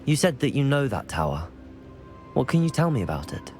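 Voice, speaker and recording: Polite, composed young student wizard. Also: male voice, medium pitch, very animated, fairly quick, darkish tone, soft background music. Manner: courteous, earnest. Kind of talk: scripted video game cutscene acting.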